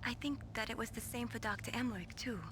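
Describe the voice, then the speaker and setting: Woman acting high-voiced idealistic young engineer. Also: steady tone, fast talker, background noise, lightly acted. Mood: regretful. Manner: earnest, reflective. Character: thoughtful, idealistic young female engineer and adviser. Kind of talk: video game voice acting.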